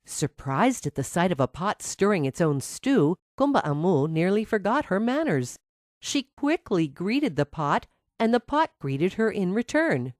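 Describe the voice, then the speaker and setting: A woman's voice: on the low side, sing-song, unhurried, no room sound, clean studio sound. A woman narrating a scripted fairy tale for children as a storyteller.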